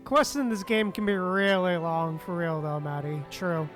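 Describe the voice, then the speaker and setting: A man's voice: high-pitched, fairly even intonation, normal speed, a bit bright. A man chatting casually on a game live stream in a laid-back, slangy, giggly manner. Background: game music.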